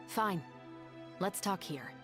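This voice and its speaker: An actress playing a stern, dutiful police captain in a video game, composed, businesslike and direct. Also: female voice, mid-range voice.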